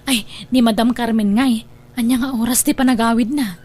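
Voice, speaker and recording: A woman's voice: on the high side, steady tone, fairly quick, a little mellow, natural voice. A woman acting in an Ilocano radio drama as a sly, chatty young housemaid, with an Ilocano accent. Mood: casual, probing. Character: sly young housemaid who pilfers from her employers. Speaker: drama actor.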